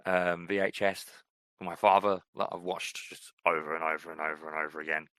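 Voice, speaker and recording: A man's voice: low voice, fairly quick, dry recording. A middle-aged man co-hosting a podcast, chatting casually and rambling with many fillers. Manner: relaxed, rambling, frequent fillers. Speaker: podcast co-host. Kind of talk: casual chat.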